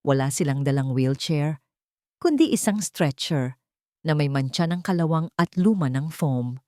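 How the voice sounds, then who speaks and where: A Filipino woman reads a dramatic story in a low voice, close and dry. Her delivery is solemn and theatrical, like a scripted first-person audio drama. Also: expressive, a little slow, dark tone, dry recording.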